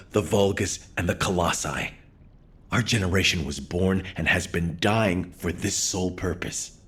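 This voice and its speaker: An actor playing a weary, conflicted resistance commander in a video game, somber and reflective. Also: male voice, low voice, a bit bright, husky, exaggerated voice.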